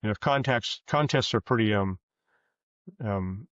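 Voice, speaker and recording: A man giving conversational interview answers as a guest author, in a hesitant, halting way, often correcting himself. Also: lowish voice, a bit bright, dry recording, lo-fi sound.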